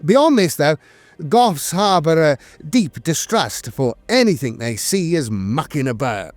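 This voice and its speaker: A man's voice: fairly high pitch, very animated, unhurried, no room sound, faint music behind. A man reading scripted fantasy lore narration in a dramatic, emphatic storytelling manner.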